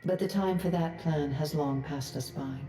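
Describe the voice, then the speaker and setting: Woman playing a low, echoing, solemn death goddess. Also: mellow, natural voice. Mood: cold, grave. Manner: chilly, measured, solemn. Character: cold, grave goddess of death. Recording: distant, echoing voice over faint music.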